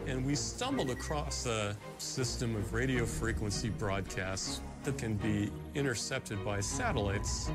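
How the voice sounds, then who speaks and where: Man explaining over loud music. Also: medium pitch. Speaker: documentary speaker. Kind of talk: explanatory talk.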